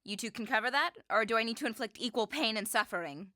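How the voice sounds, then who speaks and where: A woman's voice: fairly high pitch, expressive, fast, pushed and bright, dry recording, studio quality. A woman playing a doting, dangerous ex-military woman who stalks the man she loves. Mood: threatening. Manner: sweetly doting with a menacing edge. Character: obsessive ex-military female stalker, cheerful and dangerous.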